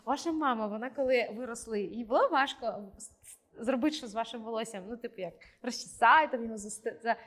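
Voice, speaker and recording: A woman interprets a question quickly, with a slightly high voice. She stumbles and searches for the right words mid-sentence. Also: fairly bright tone.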